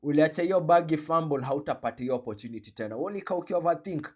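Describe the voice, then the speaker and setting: A man with a Kenyan accent hosting a reaction video, commenting in an animated, joking way and switching between slang and English. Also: fairly high pitch, rapid-fire. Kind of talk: commentary on a played clip.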